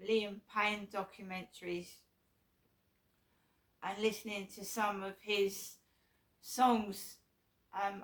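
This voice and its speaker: A middle-aged woman with a sore throat, talking casually to camera in a rambling, halting way full of ums and restarts. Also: mid-range voice, fairly bright tone, rough recording. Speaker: vlogger.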